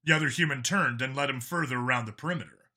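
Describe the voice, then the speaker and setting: A man's voice: mid-range voice, fast, sharp tone. A man reading a science fiction action story aloud as dramatic scripted narration. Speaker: audiobook-style story narrator.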